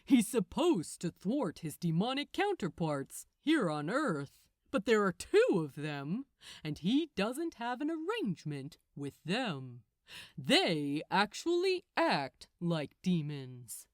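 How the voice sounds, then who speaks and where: A woman's voice: mid-range voice, very animated, slow, dry recording, studio quality, pitched below the performer's own voice. Woman voicing a superior, showy male archangel. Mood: explaining. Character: brisk, exasperated male archangel guide, theatrical and superior.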